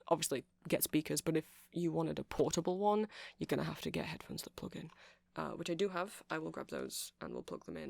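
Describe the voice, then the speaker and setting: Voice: mid-range voice, vocal fry, no echo. A performer voicing a chatty, nerdy male vampire shopkeeper in an ASMR roleplay, rambling hesitantly and apologetically as they explain things. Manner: hesitant, rambling, self-correcting. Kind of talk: ASMR roleplay, casual rambling sales chat.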